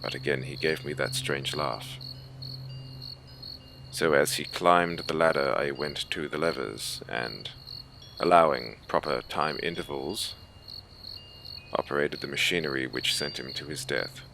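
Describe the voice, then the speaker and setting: A man as a very slow, deep-voiced haughty officer. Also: full character voice. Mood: grave, detached. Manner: formal, measured, grave. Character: cold, haughty German naval officer recounting a horror. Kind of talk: scripted audiobook horror narration. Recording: clear ambient noise bed behind voice. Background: ambient soundscape.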